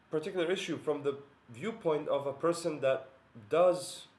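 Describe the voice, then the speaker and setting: A man's voice: mid-range voice, a little mellow. Earnest man in an echoey room. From Bosnia and Herzegovina. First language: Bosnian. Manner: earnest, measured, many pauses and "uh" fillers. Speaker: video commentator. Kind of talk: monologue to camera.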